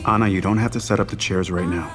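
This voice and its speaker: Actor as devoted, gentle man, podcast romance, sincere delivery, faint score underneath. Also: deep voice, fairly even intonation, fast, over music, close to an everyday voice. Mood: tender, earnest. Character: earnest, caring man secretly in love with his friend. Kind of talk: romantic audio drama acting.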